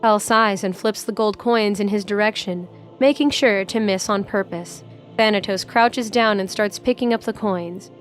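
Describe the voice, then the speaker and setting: A woman's voice: mid-range voice, normal speed, faint music behind. A woman narrating a scripted story for an audio drama.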